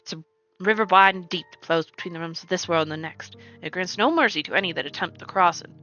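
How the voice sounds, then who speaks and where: A woman voicing a tiny, fierce, vulgar old grandmother with rough folksy speech, her voice a little lowered. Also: quick pace, bright, forceful tone, highs cut off, faint music behind. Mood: stern.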